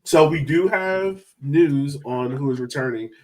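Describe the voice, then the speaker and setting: A man's voice: on the high side, unhurried, darkish tone. A man hosting a sports podcast in a casual, chatty style. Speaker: podcast host.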